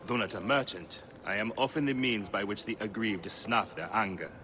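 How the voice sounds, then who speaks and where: Put-upon villager, dryly resigned, slightly low but sharp-edged voice, game scene. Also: male voice, normal speed, faint music behind. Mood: wry. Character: weary, wry villager bearing others' anger. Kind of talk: dramatic video game acting.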